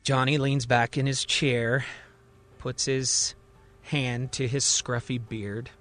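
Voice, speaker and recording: A man's voice: mid-range voice, flat delivery, slow pace, fairly bright tone, soft background music. A man narrating a fiction podcast as its host, telling the story in a dramatic, suspenseful present tense.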